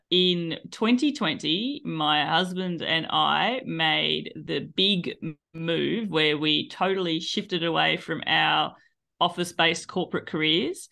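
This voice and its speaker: Australian woman, 30s, guest on a video call interview; lower register, long chatty answers. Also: gravelly, pushed and bright. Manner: chatty, long-winded storytelling with laughs. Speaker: guest, cycling tour business owner. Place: small room at home. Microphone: headset on a video call.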